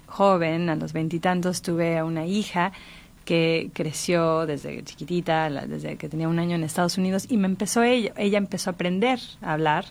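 Lower-pitched Mexican woman, thoughtful talker. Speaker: interviewee, writer. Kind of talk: reflective literary conversation.

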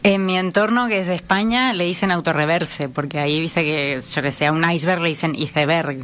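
A woman in her forties from Uruguay, speaking with a Uruguayan accent touched by Spain, talking in a relaxed, chatty way as a writer being interviewed. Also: on the low side, a little fast. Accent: Uruguayan, touched by Spanish from Spain. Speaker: writer guest. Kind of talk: conversational interview.

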